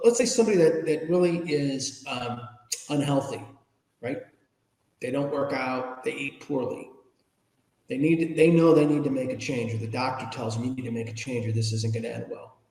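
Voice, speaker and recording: Middle-aged male ethics speaker as podcast guest, reverberant room, plain-spoken, mid-pitched voice. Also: darkish tone. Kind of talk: conversational interview answers. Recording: echoey room with long reverb.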